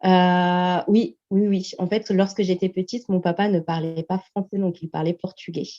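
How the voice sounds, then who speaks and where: A woman's voice: fairly low pitch, level tone, moderate pace, dark tone. A woman from France, a Spanish teacher, answering interview questions hesitantly and thoughtfully, with many fillers. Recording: dull lo-fi video-call sound.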